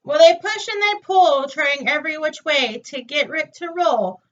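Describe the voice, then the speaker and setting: A woman reading a children's picture book aloud with an expressive, singsong delivery, in a dull, distant recording. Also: high voice, normal speed. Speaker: storytime reader.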